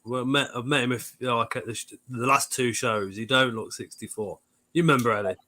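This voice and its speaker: A man's voice: medium pitch, steady tone, fairly quick, bright, forceful tone. Joking man in his forties. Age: 40s. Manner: jokey, swears casually. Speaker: live stream host. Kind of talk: casual chat, reading out viewer comments.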